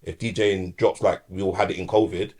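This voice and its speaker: A middle-aged man, hosting a casual podcast chat about music and DJing, talking with lots of fillers. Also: sits low. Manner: fast, animated storytelling with repeated fillers. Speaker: podcast host and DJ.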